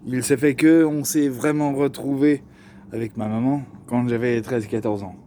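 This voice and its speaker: Slangy Parisian man, dark mellow voice. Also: mid-range voice, slight background noise. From Paris region. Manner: slangy, joking asides, many fillers. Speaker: vlogger. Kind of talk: casual storytelling to camera.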